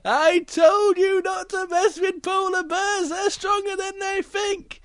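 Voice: extremely high pitch, little pitch movement, a bit bright, no echo, voice pushed up, full character voice. A storyteller voicing a big, protective, cautious male bear who warns and scolds emphatically in a children's story. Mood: scolding. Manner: emphatic, slow, admonishing.

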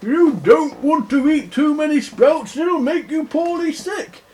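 A man's voice: very high-pitched, unhurried, a little mellow, pitched above the performer's own voice. A man voicing a fussy, scolding, fatherly big bear who exclaims and admonishes in a homemade children's story.

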